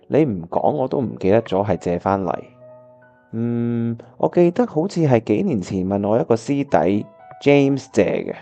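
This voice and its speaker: A man's voice: medium pitch, dark tone, lo-fi sound. A man with a Hong Kong Cantonese accent acting a disheartened, wistful time traveller who narrates his own radio drama. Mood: musing. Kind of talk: first-person radio drama narration and dialogue. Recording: music playing behind the voice.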